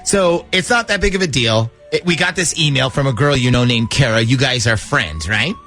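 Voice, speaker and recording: A man's voice: mid-range voice, animated, normal speed, sharp tone, faint music behind. A man hosting a morning radio show, chatty and jokey as he banters and sets up prank calls.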